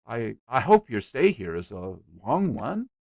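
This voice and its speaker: A man's voice: medium pitch, very animated, gravelly, dry recording, muffled recording, heavily acted. A man voicing a bashful, lovesick young man who stammers and halts. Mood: flustered stammering. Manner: stammering, halting with fillers.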